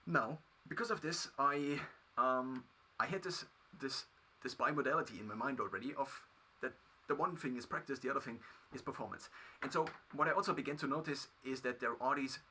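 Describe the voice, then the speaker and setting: A man with a German accent, a native German speaker, talking through his past as a video creator in a halting solo monologue full of restarts. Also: mid-range voice, bright, forceful tone, slight background noise. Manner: halting, many restarts and fillers.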